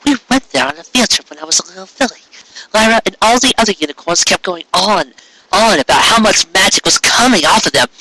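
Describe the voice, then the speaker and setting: Man as a high-voiced, certain young mare. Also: lively intonation, a little fast, sharp tone, full character voice, low-quality recording.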